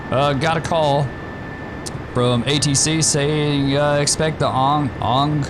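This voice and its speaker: A slightly hoarse man hosting a flight sim stream, talking in a relaxed, rambling way with many fillers. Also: a little high, takes it slowly, noisy background. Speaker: gaming channel host. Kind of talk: casual gameplay commentary.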